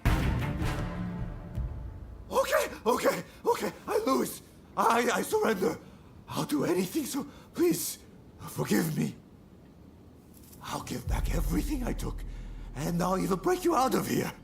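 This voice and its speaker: Game cutscene coward: nervous, stammering bargainer with a gravelly crackle and very lively pitch. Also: male voice, takes it slowly, pauses often, faint music behind, exaggerated voice. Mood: panicked pleading. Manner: stammering, wheedling. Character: cowardly defeated man pleading and bargaining for mercy. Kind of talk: video game cutscene acting.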